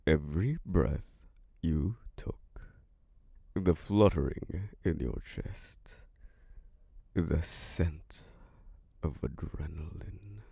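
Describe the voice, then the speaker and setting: A man voicing a deep, gravelly ancient vampire lord. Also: animated, dry recording, clean studio sound, full character voice. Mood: seductive. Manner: slow, velvety and flirtatious, with soft laughs. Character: ancient charming male vampire lord, possessive. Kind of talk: ASMR roleplay audio drama.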